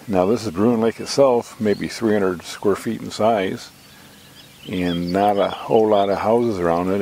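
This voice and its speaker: Deep, gravelly-voiced man touring outdoors. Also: darkish tone. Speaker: campground reviewer and vlogger. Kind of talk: casual unscripted walk-through narration. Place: outdoors at a lakeside campground. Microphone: handheld camera mic, operator off screen. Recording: outdoor ambient noise, handheld camera.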